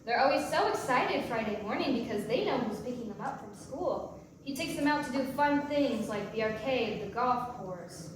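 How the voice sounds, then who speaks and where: A woman's voice: on the high side, animated, normal speed, natural voice. An actress playing a chatty, guarded divorced mother on a date in a naturalistic staged play reading. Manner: probing, playfully defensive questioning. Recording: distant mic in roomy echo, hissy noise.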